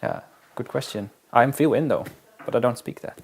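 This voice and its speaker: A man answers interview questions in a relaxed, conversational way. His voice has a gravelly, creaky texture at a middle pitch. He often pauses and restarts as he thinks his answers through. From Netherlands. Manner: halting and reflective, with frequent restarts. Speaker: interviewee, wilderness guide. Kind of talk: casual interview talk.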